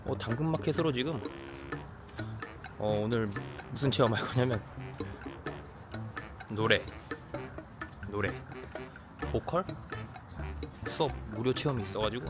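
Hesitant man narrating over music. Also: mid-range voice. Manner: hesitant, many pauses and fillers. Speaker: vlog host. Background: background music.

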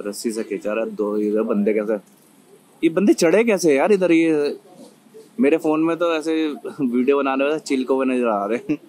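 A man's voice: medium pitch, expressive, normal speed, a little mellow, low-quality recording. A young man vlogging casually at a cricket stadium, chatty and laughing often, filmed on a handheld phone. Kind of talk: casual vlog chat.

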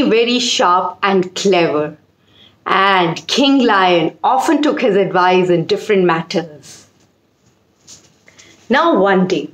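A woman in her forties with an Indian accent, telling a children's story with deliberate, emphatic repetition. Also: medium pitch. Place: small indoor room. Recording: roomy echo.

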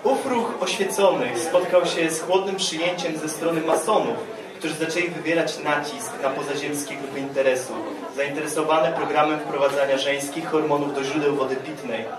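A man giving a satirical literary reading on stage in a deadpan, mock-serious lecturing manner. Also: on the high side, normal speed, crowd noise. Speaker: poet reading own work at a festival. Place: dark stage at a live poetry event, indoors. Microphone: microphone on a stand, heard through room. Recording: echoey live stage sound, distant, audience around.

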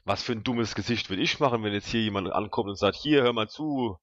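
A man's voice: on the low side, brisk, dry recording. A man playing a tabletop role-playing game, chatting casually in a blunt, colloquial, rambling way on a slightly dull, dry recording.

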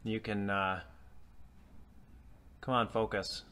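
A man's voice: lowish voice, flat delivery, slow, bright, forceful tone. A man in a workshop casually explaining a repair step by step, with many fillers, recorded on the camera mic. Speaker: DIY repair vlogger. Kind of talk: casual how-to narration. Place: indoor workshop garage.

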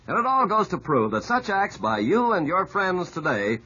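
A man's voice: high-pitched, very animated, moderate pace, bright, forceful tone. A man announcing on 1950s radio, telling scripted items with polished, folksy delivery. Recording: muffled, husky-sounding old radio recording.

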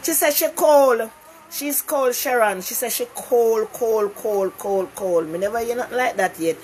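A woman's voice: a little high, unhurried, a little mellow, a little hiss or hum, poor audio. An older Jamaican woman hosting a casual live-stream talk show, chatting animatedly with viewers from her living room and repeating herself for emphasis. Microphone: phone camera close up.

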